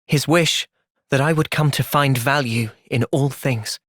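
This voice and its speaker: A man playing a gentle, thoughtful young soldier hero in a video game, earnest and reflective in manner. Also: mid-range voice, a little slow, dry recording, studio quality. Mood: calm, earnest. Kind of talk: video game cutscene voice acting.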